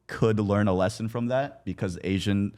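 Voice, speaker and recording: A man's voice: lowish voice, moderate pace, darkish tone. A young American man talking casually and personally, in very colloquial phrasing. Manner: colloquial, peppered with "like" and "you know". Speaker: podcast participant.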